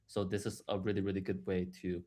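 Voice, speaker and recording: A man giving a live, explanatory software demo in a halting way, repeating words and restarting as he goes. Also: low voice, flat delivery. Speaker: software product presenter.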